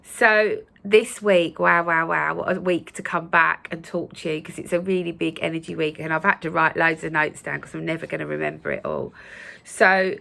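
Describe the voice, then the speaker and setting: A woman hosting her own channel, speaking in a casual, gentle, reassuring way with a croaky voice from a recent cold and many fillers. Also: low voice, normal speed. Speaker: spiritual channel host. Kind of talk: casual spoken talk to viewers.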